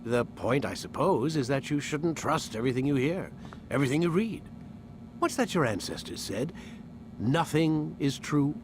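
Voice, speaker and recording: A man's voice: on the high side, animated, moderate pace, noisy background, lightly acted. A man playing a condescending, smug scientist in game cutscene dialogue, with an articulate, sardonic delivery. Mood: smug satisfaction. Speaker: game voice actor.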